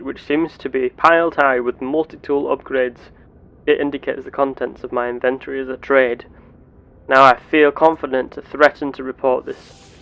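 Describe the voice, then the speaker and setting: Formal male gamer roleplaying a starship captain, slightly creaky. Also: medium pitch, highs cut off. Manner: formal, deliberate wording, as a starship captain keeping a log. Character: earnest, curious starship captain exploring planets. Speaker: player of a roleplay game series. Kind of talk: in-character roleplay narration of gameplay.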